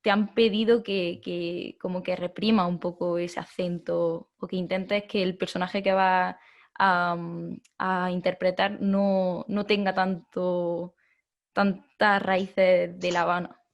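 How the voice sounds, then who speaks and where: A woman's voice: lowish voice, level tone, takes it slowly. A woman with an Andalusian Spanish accent, asking interview questions with hesitant restarts.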